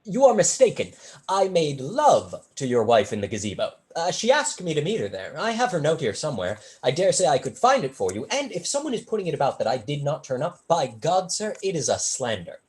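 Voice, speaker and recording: Here is a young actor performing a brazen, silver-tongued male tutor from a period stage comedy. The pitch swoops freely through long, elaborately formal sentences. The manner is arch, witty and smoothly flattering. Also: a little fast, lightly acted. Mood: unruffled, mocking. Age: young adult. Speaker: student actor.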